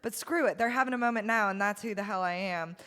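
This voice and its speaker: A woman singer with a deep, smoky voice, talking in a rambling, self-correcting way in casual chat over drinks. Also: quick pace, fairly bright tone, big-room sound. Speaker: singer, guest.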